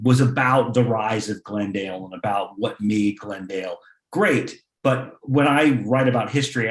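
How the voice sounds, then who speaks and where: A man speaking as a playwright on a panel over a video call, telling stories in a halting, conversational way. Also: fairly low pitch, roomy sound.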